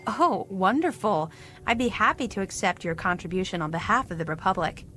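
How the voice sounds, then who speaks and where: A woman's voice: mid-range voice, fairly quick, a bit bright, a little hiss or hum, close to an everyday voice. An actress playing a friendly, earnest woman who proudly runs a small museum in a video game. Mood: welcoming. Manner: welcoming, earnest. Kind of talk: video game character dialogue.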